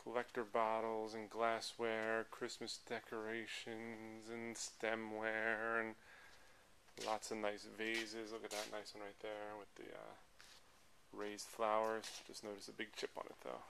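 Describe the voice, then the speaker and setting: An American man with a lowish, gravelly voice rambles casually from item to item as he presents an auction preview. He talks off the cuff while walking. It is handheld camera audio with clear background noise. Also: monotone, unhurried, a bit bright. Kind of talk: casual off-the-cuff walk-through commentary. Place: large indoor auction hall full of tables. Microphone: handheld camera, held by speaker behind it.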